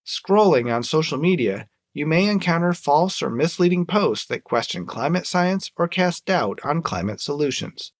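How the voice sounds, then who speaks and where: Lively scripted male radio narrator. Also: fairly high pitch, normal speed, hardly any pauses. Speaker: radio segment host.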